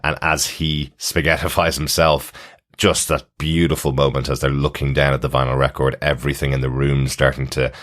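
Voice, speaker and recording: Male co-host for a casual TV review podcast, exceptionally low bass voice. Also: level tone, normal speed, fairly bright tone. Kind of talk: casual TV episode review chat.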